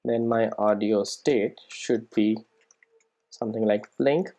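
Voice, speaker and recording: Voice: mid-range voice, fairly even intonation, slow pace, warm tone. A programming instructor giving a casual step-by-step screen-recorded tutorial, often checking in with "right?" and "okay".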